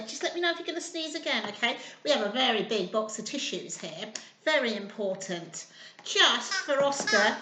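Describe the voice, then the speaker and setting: A woman's voice: sits high, background noise. A woman hosting children's storytime, talking simply and encouragingly to small children in a sing-song way. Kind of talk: children's storytime reading and chat. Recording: roomy, echoey indoor sound.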